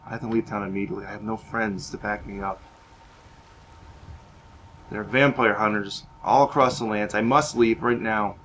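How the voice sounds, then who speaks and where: A man's voice: fairly low pitch, steady tone, moderate pace, long pauses, fairly bright tone, a little hiss or hum, natural voice. A man voicing a hungry, scheming vampire necromancer in a video game roleplay, musing in a sinister way. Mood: brooding, wary.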